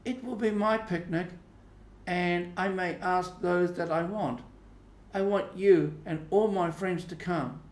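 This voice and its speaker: A man's voice: high voice, steady tone, slow pace, reverberant, voice pushed up. A man voicing a proud, showy and easily wounded schoolgirl in a storybook, speaking emphatically.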